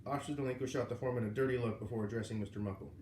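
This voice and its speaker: Male narrator, medium pitch, storybook read-aloud, roomy echo, faint background noise. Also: rapid-fire, darkish tone. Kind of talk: reading a children's novel aloud. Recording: roomy, echoing, noisy home recording.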